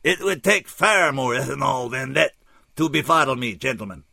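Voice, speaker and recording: A man acts out an anguished alien emissary from a science-fiction audiobook, pitched well up and loud. The speech is stiff, formal and resigned, in a strange unplaceable accent, with a strained, pained edge. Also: expressive, gravelly, no echo, exaggerated voice. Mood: weary, formal. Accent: odd, unplaceable foreign accent. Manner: stiff, formal, dispassionate, slow and deliberate.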